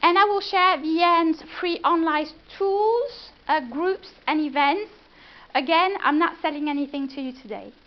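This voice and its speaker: A woman with a French accent gives a chatty business presentation at a live event and keeps pulling the audience in with questions. Her voice sits very high. The recording is muffled and lo-fi. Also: normal speed. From France. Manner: chatty, engaging, asks the room questions.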